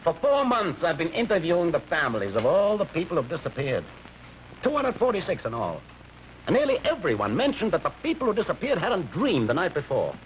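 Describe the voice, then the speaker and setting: Frustrated detective, American accent, fairly high voice, vintage radio drama, faint noise. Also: male voice, huge pitch swings, brisk. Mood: earnest, brooding. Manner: terse, frustrated. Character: weary, brooding male police detective, obsessed with his case. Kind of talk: old-time radio drama acting. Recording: dull, noisy old radio recording.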